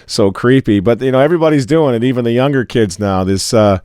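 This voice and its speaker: Hoarse, sarcastic man commentating. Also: medium pitch, fast talker, darkish tone, no echo, studio quality. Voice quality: raspy. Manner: sarcastic, mocking asides. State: hoarse from a cold. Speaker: newspaper editor hosting commentary. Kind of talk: casual political and religious commentary.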